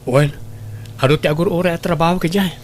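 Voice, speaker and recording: A man's voice: a little high, brisk, a little hiss or hum, lightly acted. A man with an Ilocano accent playing a friendly, inquisitive former boyfriend in an audio drama, chatty and sympathetic. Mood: concerned.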